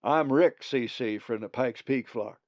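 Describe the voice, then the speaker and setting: A man's voice: medium pitch, unhurried, a little mellow, no room sound, muffled recording, natural voice. A man doing a drawling, friendly raven.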